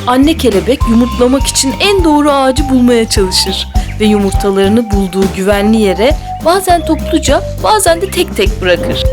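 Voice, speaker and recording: An actress playing a gentle, patient mother in a children's cartoon, explaining nature expressively like a storyteller. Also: a little high, expressive, normal speed, close to an everyday voice. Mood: storytelling wonder. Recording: music playing behind the voice.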